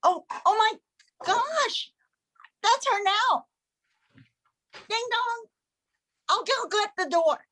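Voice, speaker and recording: A woman's voice: very high-pitched, animated, very slow, long pauses, a bit bright, full character voice. A woman playing an eager, sweet little schoolgirl in an amateur radio play, with childlike delivery. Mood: excited.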